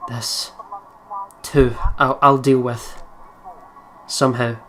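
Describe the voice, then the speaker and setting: A man's voice: mid-range voice, level tone, slow, noisy background. A man with a Scottish accent, commentating casually on a game and reading its text aloud. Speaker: let's play commentator.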